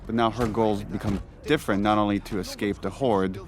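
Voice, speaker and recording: A man's voice: lowish voice, fairly even intonation, brisk, noisy background. A man talking casually in behind-the-scenes commentary as a game director.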